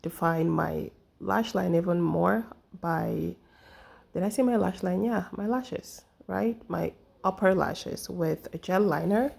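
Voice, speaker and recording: A young woman talks through her makeup routine in a relaxed, chatty way, full of little fillers. Her voice sits low and sounds husky and gravelly because she is getting over the flu. She comes across as an easygoing beauty vlogger. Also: slow pace. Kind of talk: casual makeup tutorial talk.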